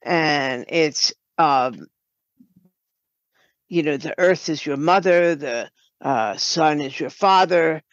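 An older woman speaks slowly and thoughtfully, pausing as she explains. She is a guest in an interview, and her voice comes through dry but somewhat dull and lo-fi. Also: deep voice, no room sound. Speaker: guest, writer and producer. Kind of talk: reflective interview talk.

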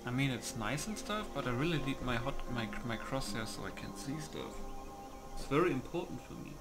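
A man's voice: medium pitch, animated, fairly quick. Man muttering casually over music. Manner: muttering, reads menu options aloud. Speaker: gamer recording a playthrough. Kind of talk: casual gameplay commentary.

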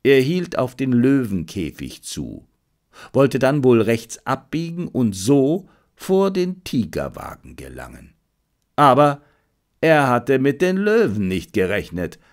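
Male audiobook narrator, mid-pitched, highly animated, dramatic and suspenseful. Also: slow. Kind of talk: scripted narration of a detective adventure.

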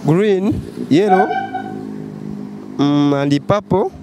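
Young guy answering real slow, stops to find words, traffic noise behind. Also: high voice. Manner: hesitant, searches for words. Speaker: passer-by interviewee. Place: busy street outdoors. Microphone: handheld mic held by host.

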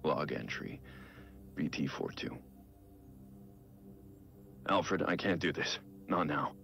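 An actor playing a brooding, world-weary middle-aged vigilante in a video game, dictating private logs in a grave, measured way with deliberate pauses. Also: male voice, animated, a bit bright, full character voice. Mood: despairing, weary. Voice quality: gravelly, very low. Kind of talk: dramatic scripted game monologue. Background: music.

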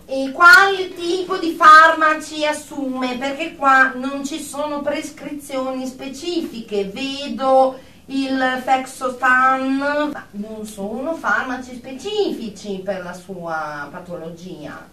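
A woman acting as a cold, peremptory female psychiatrist in a radio play. Her voice is high and very bright, with slow, clinical phrasing. The recording is roomy and distant. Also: exaggerated voice. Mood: formal, probing. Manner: clinical, peremptory, muttering diagnoses to herself. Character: cold, formal female psychiatrist assessing a patient. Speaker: clinician interviewing a patient. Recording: distant, roomy, faint noise.

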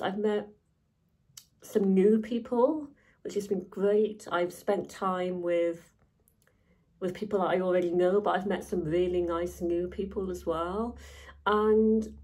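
A woman's voice: lowish voice, warm tone, husky. A young woman vlogging casually to camera, chatty and enthusiastic with lots of fillers.

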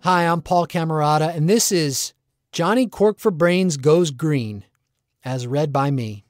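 A man's voice: fairly high pitch, unhurried, no room sound, clean studio sound, soft background music. A man reading his own humorous rhyming poem in a rhythmic storytelling delivery. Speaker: author reading own work.

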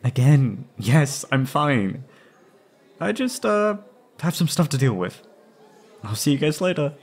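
A young man acting an anxious, wistful former captive in a scripted roleplay audio drama, speaking hesitantly and haltingly. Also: a little high, very animated, exaggerated voice. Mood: defensive, uneasy.

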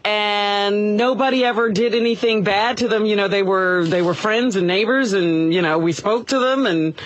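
A woman's voice: mid-range voice, moderate pace, lo-fi sound. A woman co-hosting a radio show in casual, conversational banter. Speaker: radio co-host.

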